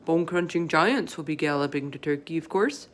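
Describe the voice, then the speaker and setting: A woman voicing a big, friendly male giant from a children's storybook, earnest and excitable, with muddled folksy grammar. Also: low voice, fairly quick, lightly acted. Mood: emphatic explaining. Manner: emphatic, garbled folksy grammar with made-up words.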